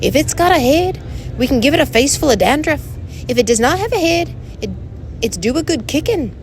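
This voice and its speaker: A woman voicing a pugnacious, growling male pixie brawler in a storybook reading, over clear noise. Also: sits high, very animated, fairly quick, pitched above the performer's own voice. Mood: defiant.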